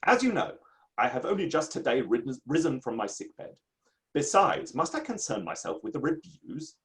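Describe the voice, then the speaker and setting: A man's voice: medium pitch, very animated, normal speed, a bit bright. A man in a dramatic play reading, playing a proud, aggrieved Victorian writer with a formal, emphatic delivery. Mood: irritable.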